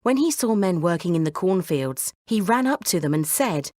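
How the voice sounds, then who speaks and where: Lively woman reading a bedtime story. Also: fairly low pitch, normal speed, no room sound, studio quality. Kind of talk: scripted children's bedtime story narration.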